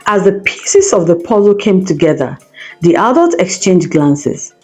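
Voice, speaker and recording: A woman narrates a scripted folktale in a soft, mellow tone. Her delivery moves up and down with plenty of expression, and her voice has a slightly husky grain. Music plays behind the storytelling. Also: lowish voice, very animated, a little slow, low-quality recording. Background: background music.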